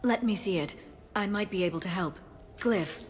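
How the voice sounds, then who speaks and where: An actress playing a composed, helpful alien information broker in a video game, speaking in a measured, polished manner. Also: female voice, medium pitch, slight background noise, close to an everyday voice. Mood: calm, relieved.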